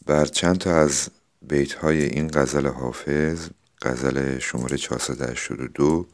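An extremely deep male voice. He speaks as a podcast host presenting classical poetry, moving between recitation and informal explanation.

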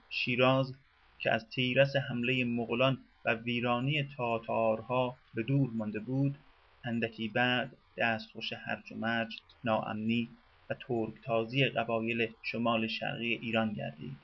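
A man narrating a biography in a measured, formal reading style. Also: mid-range voice, flat delivery. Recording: dull lo-fi radio recording.

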